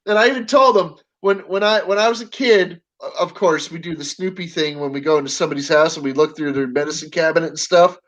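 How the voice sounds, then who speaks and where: A woman's voice: low-pitched, fast, few pauses, muffled recording. A woman in her forties joining a casual live-stream chat, joking and talkative. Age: 40s.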